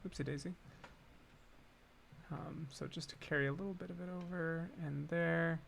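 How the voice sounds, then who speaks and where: A man's voice: on the high side, steady tone, moderate pace, vocal fry, a little hiss or hum. A man chatting casually on a livestream as he composes game music, thinking aloud in playful murmured asides. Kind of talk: casual livestream chat while composing at a keyboard. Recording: loud piano playing over the voice. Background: piano keyboard being played.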